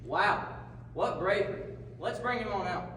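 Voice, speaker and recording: Folksy radio host puppet played by a man, wry patter, high voice, noisy distant pickup. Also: expressive, measured pace. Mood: curious. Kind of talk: comedic radio talk show skit. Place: live performance in a large hall. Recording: echoey hall from afar, background noise.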